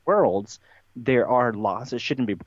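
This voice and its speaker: A man talking as an interview guest, giving animated, emphatic, rambling explanations. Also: medium pitch, takes it slowly, no echo. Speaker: podcast guest being interviewed. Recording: dull, lo-fi, dry sound.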